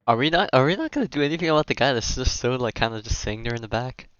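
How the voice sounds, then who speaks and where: A man's voice: medium pitch, expressive, rapid-fire, fairly bright tone, smooth, muffled recording. A man giving casual, joking live commentary on a game as a streamer. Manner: informal, joking.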